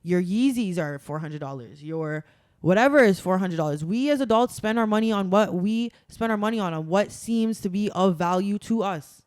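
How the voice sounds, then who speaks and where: Young woman, podcast guest, smooth clear tone, dry close sound, emphatic and chatty. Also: medium pitch, animated, moderate pace, a little mellow, no room sound. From Toronto, Canada. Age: young adult. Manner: chatty and emphatic, many "like" fillers. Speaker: guest, carnival masquerader. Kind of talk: casual podcast conversation.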